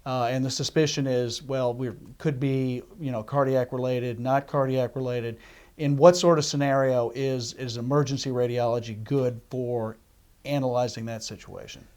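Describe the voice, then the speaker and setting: A middle-aged man hosting a medical interview, conversationally recapping and circling his way into questions with a halting delivery. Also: mid-range voice, steady tone, a little mellow. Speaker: interview host, doctor.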